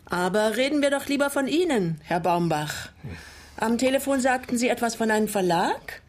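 An actress playing a composed, resolute older widow in a German radio crime comedy, polite and probing. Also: female voice, a little high, expressive, moderate pace.

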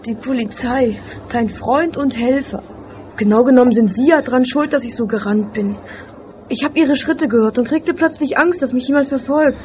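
A young woman playing a feisty, sarcastic eighteen-year-old girl in a radio play, pert and expressive. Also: sits high, moderate pace, a little hiss or hum, lightly acted. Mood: pained, irritated. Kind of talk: German radio play acting. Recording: dull sound with music behind.

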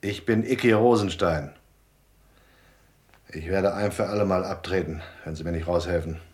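A man acting a hunted gangster who has quit the mob, in a radio play. His voice is low, his manner guarded and terse, tough with anxiety underneath. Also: moderate pace, a little mellow, natural voice. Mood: grim, confessional. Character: hunted male gangster dropout, tough yet anxious. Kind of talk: German radio drama acting.

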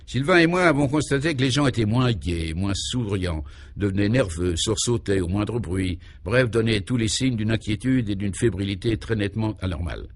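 An earnest male reporter in a radio serial, acted by a man, with a fairly low voice. He is deferential and polite, asking puzzled questions. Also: animated, moderate pace.

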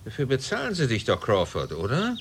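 An actor playing a pushy, wry police detective in a radio drama, insistent and curt. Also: male voice, mid-range voice, huge pitch swings, brisk. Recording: loud outdoor noise, birdsong.

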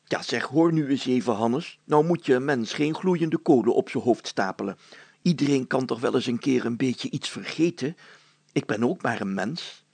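A man voicing a fussy, bumbling older mayor in a children's story, flustered and self-pitying, hemming, hawing and clearing his throat. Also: mid-range voice, lively intonation, normal speed, a little mellow, dry recording. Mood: indignant.

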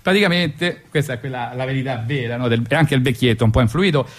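A Roman man in his forties, a radio storyteller with a middle-pitched voice. He talks in a colloquial, conversational way, spinning ironic monologues with wry mock philosophy. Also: quick pace. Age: 40s. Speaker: radio show host and storyteller.

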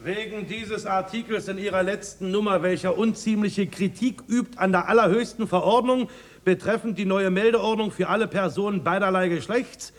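An actor playing a pompous, indignant police commissioner with officious, stiff speech in a German radio play. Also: male voice, high-pitched, steady tone, normal speed, lightly acted.

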